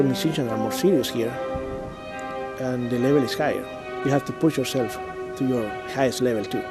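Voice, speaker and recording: Middle-aged man, Chilean Spanish accent, documentary musician, calm and plain-spoken over clear background music. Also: medium pitch. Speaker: musician and church music director. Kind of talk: documentary interview.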